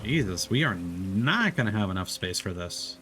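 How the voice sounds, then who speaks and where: Low-voiced animated man streaming a game. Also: normal speed, over music. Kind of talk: casual live gameplay commentary.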